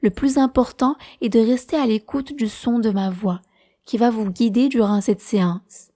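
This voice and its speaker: A woman leading a guided prenatal meditation as a teacher, speaking in a calm, measured, soothing way. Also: on the high side.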